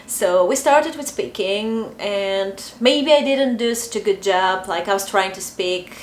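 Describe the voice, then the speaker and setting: A young adult woman with a Bulgarian accent, talking casually to camera and pausing often with fillers. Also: mid-range voice, slight background noise. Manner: chatty, hesitant, many fillers. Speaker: vlogger. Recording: echoey room, faint ambience.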